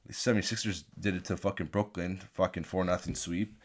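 A very deep-voiced man with a blocked, stuffy nose rants alone into a desk mic. He swears nearly every sentence and rambles from thought to thought in an excitable, casual way. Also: fairly quick, a little hiss or hum. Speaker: podcast host. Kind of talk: casual solo rant. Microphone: desk microphone at a laptop.

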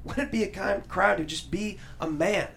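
A man's voice: high voice, normal speed, vocal fry, fairly bright tone. A man playing a frustrated, defensive 1890s working man, heated and pleading, in a table read.

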